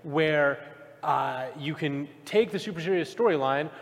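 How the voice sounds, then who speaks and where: A man with a fairly high voice, arguing his point in a measured way on a convention panel. His microphone picks up a long, hall-like tail. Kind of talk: live panel discussion. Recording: hall mic with long reverb.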